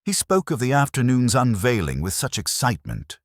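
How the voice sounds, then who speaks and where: A man voicing a dignified woman secretary who speaks quietly and steadily. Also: medium pitch, very animated, moderate pace, dry recording, clean studio sound, pitched above the performer's own voice. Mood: regretful.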